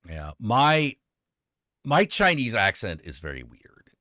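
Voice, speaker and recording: A man hosting a casual chat, rambling and lively, laughing easily. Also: on the low side, slow, dry recording, clean studio sound. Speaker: host.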